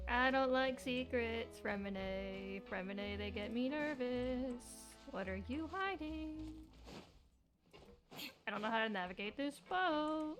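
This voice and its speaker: Streamer casting: woman, higher-pitched, casual and reactive, soft music behind. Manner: expressive, reactive, mutters to herself, swears. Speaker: game streamer. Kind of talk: casual live game commentary.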